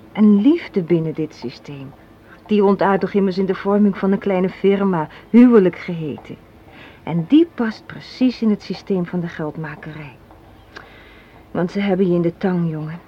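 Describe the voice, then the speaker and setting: A woman's voice: mid-range voice, husky. An actress in a radio play playing an assertive, cynical young woman, arguing in an articulate, sardonic way. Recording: muffled, hissy old radio play recording.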